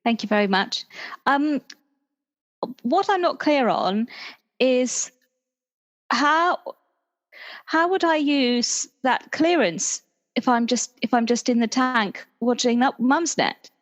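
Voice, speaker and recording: A woman's voice: sits high, animated, slow pace, pauses often. A woman playing a polite, unruffled secret agent with an understated, dry manner in an improvised roleplay.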